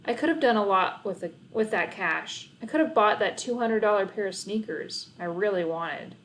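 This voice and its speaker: A woman reading a children's story aloud as narrator, in an expressive storybook style. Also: medium pitch, moderate pace, slight background noise, poor audio.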